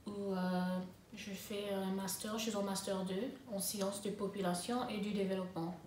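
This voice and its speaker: A young woman in her twenties talks in a flat, even tone. She pauses often and restarts her sentences as she answers questions. The room is echoey, with faint ambient noise behind her. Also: medium pitch, distant mic. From Congo (DRC), raised in South Africa. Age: 20s. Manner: hesitant, many fillers and restarts. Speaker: interviewee, master's student. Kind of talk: interview answers.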